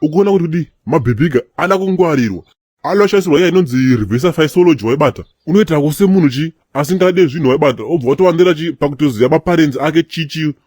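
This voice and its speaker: A man plays a streetwise young Zimbabwean guy in a cartoon comedy. He talks very fast in a slightly high voice, recorded dry and close, bantering in slang peppered with English. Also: animated, a little mellow, dry recording. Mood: chatty, opinionated. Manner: slangy, mixing in English phrases.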